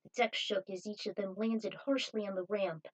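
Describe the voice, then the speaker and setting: A woman's voice: mid-range voice, fairly even intonation, moderate pace, low-quality recording. A woman reading a scripted adventure story aloud as narrator, in a dramatic manner.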